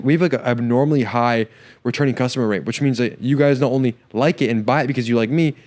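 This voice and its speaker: Fast-talking young man with a slight stutter. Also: medium pitch, a little mellow. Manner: enthusiastic, filler-heavy slang with frequent repeats. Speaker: podcast host. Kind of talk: casual solo podcast monologue.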